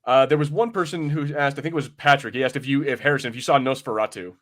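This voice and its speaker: A man's voice: mid-range voice, quick pace, a bit bright. A man chatting casually as a podcast co-host, slangy and swearing freely. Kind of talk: casual chat.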